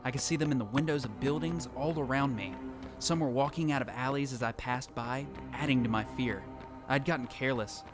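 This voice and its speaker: A man's voice: mid-range voice, fairly quick, natural voice. A man playing a lone apocalypse survivor who tells his story in earnest, dramatic first-person narration for an audio drama. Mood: uneasy, panicked. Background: music.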